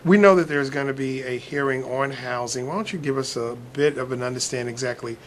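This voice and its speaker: A man hosting an interview, asking questions calmly and keeping his replies soft. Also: medium pitch, fairly quick, slight background noise. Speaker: interview host.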